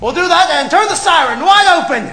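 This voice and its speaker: A man's voice: very high-pitched, lively intonation, a little fast, exaggerated voice. A man playing a frantic, stammering family man. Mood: overjoyed. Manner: stammering, pleading, shouting. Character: frantic, desperate family man, then overjoyed. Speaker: lead actor. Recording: echoey, music playing behind.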